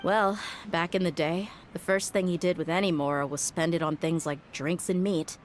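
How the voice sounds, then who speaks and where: A woman's voice: lowish voice, fairly even intonation, normal speed, background noise, natural voice. An actress voicing a tough, blunt young female mercenary, wry and exasperated, sighing often. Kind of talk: game voice acting.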